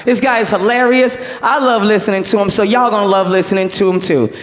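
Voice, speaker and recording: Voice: mid-range voice, fairly even intonation, brisk. A comedy show host, hyping up a live crowd on stage. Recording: echoey hall, music behind, live venue.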